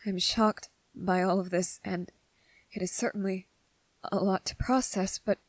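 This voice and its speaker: A woman voicing a gentle, earnest young male soldier in a soft-spoken, halting ASMR roleplay. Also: medium pitch, steady tone, no room sound, natural voice. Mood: earnest, reassuring. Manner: hesitant, halting, repeating phrases, earnest.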